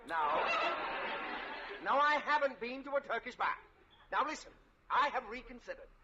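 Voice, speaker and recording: Blustery high-pitched male school principal. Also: normal speed. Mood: flustered anger. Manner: pompous, clipped formal indignation. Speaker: actor in radio sitcom. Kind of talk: old-time radio comedy acting. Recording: dull, noisy old radio recording.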